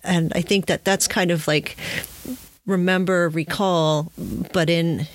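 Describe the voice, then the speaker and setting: A woman hosting an interview, speaking reflectively and a little tentatively, pausing between phrases as she forms her thoughts. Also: on the low side, steady tone. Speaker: podcast host. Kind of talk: conversational interview, reflective commentary.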